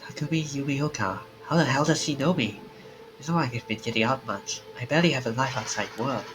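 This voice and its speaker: A man with a fairly deep voice reads a visual novel aloud for a stream. His pitch is average for a man. Game music plays clearly behind him. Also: a little fast, rough recording.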